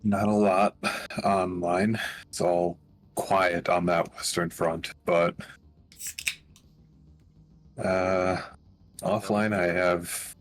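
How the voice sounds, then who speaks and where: A man with a very deep voice talks slowly and evenly in casual chat. His delivery stays flat and low-key. Faint music plays underneath. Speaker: player.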